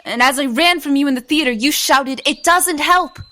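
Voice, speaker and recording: A woman's voice: high-pitched, normal speed, sharp tone. A woman acting in an audio drama as a hunted, defiant woman who pleads to be believed, also narrating in the first person. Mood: insistent.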